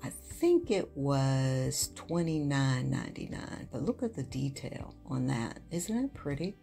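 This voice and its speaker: A woman narrating a shop-with-me home decor video in a relaxed, chatty voice-over, with many ums and uhs. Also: extremely low pitch, a little slow, creaky, faint music behind.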